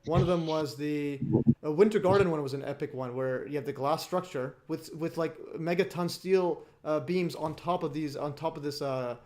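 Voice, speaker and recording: A man with a fairly high voice hosts a loose online hangout. He rambles, restarts his sentences and laughs between points. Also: normal speed, darkish tone. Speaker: host. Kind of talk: casual livestream chat.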